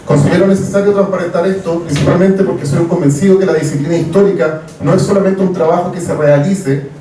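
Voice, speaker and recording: A young Chilean man with a Chilean Spanish accent, a historian giving a formal talk from notes, measured and with frequent "eh" fillers. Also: sits high, fairly even intonation, warm tone, a little hiss or hum. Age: young adult. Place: event hall. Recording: echoey hall, mic far off.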